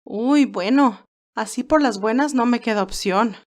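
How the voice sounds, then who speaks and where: A feisty, sweet young nurse from a romantic audio story, acted out by a woman. She speaks in a girlish, expressive way, with plenty of emphasis and feeling. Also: a little high, unhurried, natural voice. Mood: teasing, incredulous. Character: Candy, sweet, feisty young nurse, easily moved and teasing.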